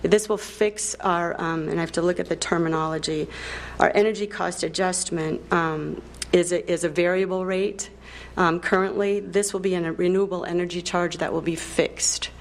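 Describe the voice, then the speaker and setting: A woman answering questions at a board meeting in a careful, slightly hesitant way, correcting herself as she goes. Her voice is on the low side. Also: steady tone, normal speed. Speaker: district staff member.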